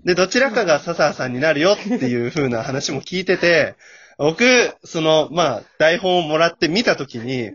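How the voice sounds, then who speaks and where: A man's voice: fairly high pitch, expressive, normal speed, a bit bright, breathy edge. A man chatting casually as a guest, giving his impressions, on a muffled lo-fi recording. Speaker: guest, amateur voice actor. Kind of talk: casual chat, giving impressions of a radio drama. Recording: dull, muffled lo-fi audio.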